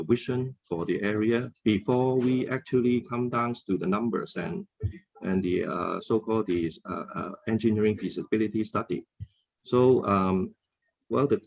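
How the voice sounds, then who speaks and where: A man with a Hong Kong accent speaking off the cuff as a panelist, halting often and repeating words. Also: on the low side, a little mellow, dull audio. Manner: halting, frequent hesitations and word repeats. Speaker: symposium panelist. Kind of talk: unscripted panel discussion.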